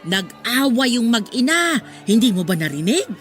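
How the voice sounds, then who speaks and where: A woman's voice: on the high side, sing-song, moderate pace, a bit bright. A woman playing a meddlesome, chatty middle-aged neighbor in a Filipino radio drama. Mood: gossipy. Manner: chatty, prying. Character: nosy gossiping older neighborhood woman, meddlesome but concerned. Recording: music behind the voice.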